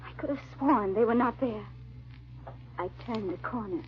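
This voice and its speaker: An actress playing a frightened, grieving noblewoman hunted by her husband, in halting, melodramatic old-time radio style. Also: a little high, background noise, lightly acted. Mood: hushed, tense narration. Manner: halting, fearful, melodramatic delivery. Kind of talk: old-time radio drama acting and narration. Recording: dull, band-limited old radio recording.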